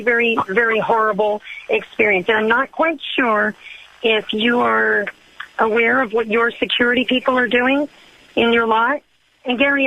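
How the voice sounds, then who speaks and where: A woman playing a brash, indignant, flirty complainer on a prank phone call, rambling, pushy and quick to interrupt. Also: fairly high pitch. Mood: apologetic, rambling. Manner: rambling, interrupting, pushy, calls listener sweetheart. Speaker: prank caller. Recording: dull lo-fi phone line.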